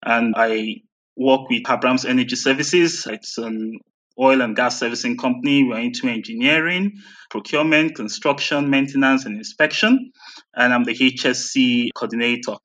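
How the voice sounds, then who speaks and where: A man's voice: mid-range voice, moderate pace, a bit bright. A man with a Nigerian accent answering conversationally as an interview guest. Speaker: interview guest, health and safety coordinator.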